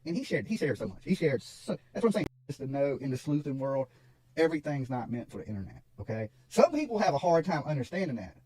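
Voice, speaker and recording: A man's voice: on the high side, sing-song, fast talker, mellow, slightly rough, dry recording, poor audio. A man talking casually to his livestream audience, insistent, repeating himself and checking for agreement. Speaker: online true crime content creator.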